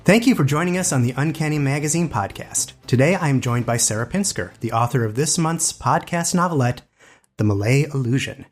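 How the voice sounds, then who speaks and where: A man's voice: mid-range voice, lively intonation, brisk, soft background music. A man hosting a literary podcast, reading scripted introductions and putting questions to a guest. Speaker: podcast host and editor.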